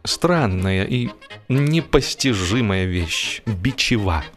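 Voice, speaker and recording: A man narrating a humorous literary story in an expressive, measured way with deliberate pauses. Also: fairly low pitch, soft background music. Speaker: audiobook narrator.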